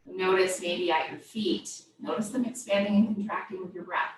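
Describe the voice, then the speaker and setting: Woman, yoga instructor, gentle step-by-step cueing, very reverberant room, faint background noise. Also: fairly low pitch, steady tone, moderate pace. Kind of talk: guided yoga and body scan instruction. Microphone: distant microphone. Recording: very echoey room, distant mic, faint noise.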